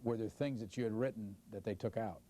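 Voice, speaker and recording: A male interviewer asks short, direct questions in a lowish, warm, mellow voice. A faint hiss sits under the recording. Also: brisk.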